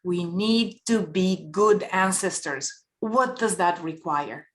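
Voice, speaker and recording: A woman from Bulgaria giving earnest, measured panel remarks and posing rhetorical questions. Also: fairly low pitch, animated.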